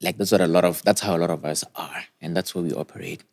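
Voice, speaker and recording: Deep-voiced casual male podcast host. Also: fairly even intonation, fast, vocal fry, darkish tone. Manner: casual, questioning, affirming with repeated praise. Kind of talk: podcast interview.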